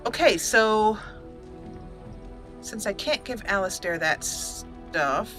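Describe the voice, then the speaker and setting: Let's play host: woman, forward bright voice, chatty thinking-aloud commentary, light music bed. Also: medium pitch, expressive, slow. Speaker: host, gamer. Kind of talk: casual let's play commentary with roleplayed dialogue. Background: soft game music.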